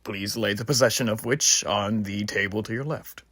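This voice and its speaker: A man's voice: on the low side, moderate pace, husky, no room sound. A man playing an earnest, proud revolutionary army officer in a roleplay, speaking to the listener in a formal, rambling, stately way. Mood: stately, welcoming. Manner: formal, rambling, theatrically stately, hushed asides. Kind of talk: roleplay voice acting addressed to the listener.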